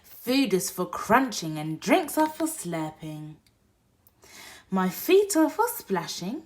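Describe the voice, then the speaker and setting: Lady reading a kids bedtime story real slow, voice going all over the place, whispery then loud. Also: mid-range voice. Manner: expressive, swings between whispers and loud exclaims. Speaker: storyteller reading a picture book. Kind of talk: read-aloud bedtime story for toddlers.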